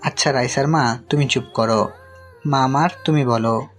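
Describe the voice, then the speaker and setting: A man's voice: mid-range voice, moderate pace, lightly acted. A man acting a sceptical, reproving middle-aged father who guards family honour in an audio story. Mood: patient. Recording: music under the voice.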